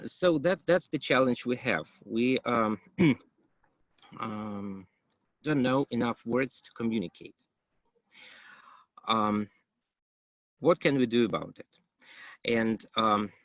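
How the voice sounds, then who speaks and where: A man giving a conference talk as an English teacher, pausing often with ums and uhs. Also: medium pitch, a bit bright, no echo. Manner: hesitant, many ums and uhs. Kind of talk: conference presentation. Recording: dull, muffled, dry.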